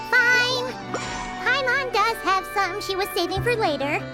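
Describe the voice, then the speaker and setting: Tiny chirpy game mascot: very high, squeaky, bouncy intonation, excitable. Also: female voice, takes it slowly, full character voice. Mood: worried, grudging. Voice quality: squeaky, childlike. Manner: refers to self in third person, exclaiming. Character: tiny childlike floating companion, chirpy and excitable. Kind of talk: video game voice acting. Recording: music behind the voice.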